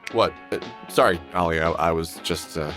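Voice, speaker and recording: A man's voice: sits low, moderate pace, a bit bright, faint music behind. An actor playing a weary, wry middle-aged man in a video game, talking in a dry, grumbling way. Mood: flustered. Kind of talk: video game voice acting, muttered inner monologue and dialogue.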